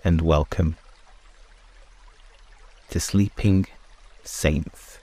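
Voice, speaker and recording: Male meditation host, exceptionally deep voice, very slow and unhurried delivery, hushed phrasing with long pauses. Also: steady tone. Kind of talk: slow guided sleep meditation and prayer.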